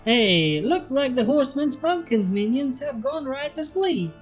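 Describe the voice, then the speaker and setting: A woman's voice: high-pitched, very animated, a little slow, soft background music, pitched above the performer's own voice. A woman voicing an easygoing, plain-spoken female country farm pony in a folksy manner.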